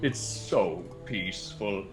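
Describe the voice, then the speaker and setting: An actor playing a cold, easily angered criminal in a radio play, speaking in a deliberate, sinister way. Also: male voice, low voice, faint music behind. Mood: cold, scheming. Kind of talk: old-time radio play acting.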